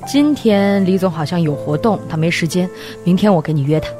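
A woman's voice: lowish voice, fairly quick, natural voice. An actress playing a stern, domineering middle-aged mother in an audio drama, commanding and emphatic. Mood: pleased, coaxing. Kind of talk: Mandarin audio drama acting. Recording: loud music behind the voice.

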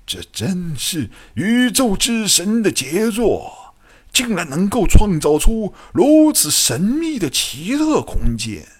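A man's voice: high voice, wildly swooping pitch, slow pace, husky, voice pushed up, exaggerated voice. A man voicing an eccentric, awestruck mad scientist in an audiobook, exclaiming gleefully. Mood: ecstatic amazement.

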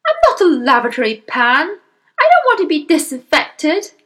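Woman playing a yelling, blustering storybook father. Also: high-pitched, wildly swooping pitch, normal speed, pitched above the performer's own voice, full character voice. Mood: aghast. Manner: yelling, indignant. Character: blustering, vain, panicking middle-aged father. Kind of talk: acted children's audiobook.

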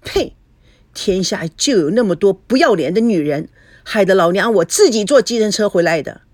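A woman's voice: medium pitch, animated, normal speed, darkish tone, no room sound, studio quality, natural voice. A woman voicing a foul-tempered, suspicious mother in a story, scolding with coarse sarcasm. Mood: suspicious, griping.

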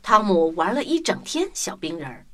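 A woman narrating a scripted children's story in an expressive storytelling manner. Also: fairly low pitch, normal speed, loud music behind the voice.

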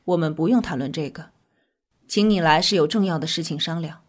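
A woman playing a calm, earnest scientist, explaining in a measured way in an audio drama. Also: low voice. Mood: grave, insistent. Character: calm, earnest female scientist with mystical faith.

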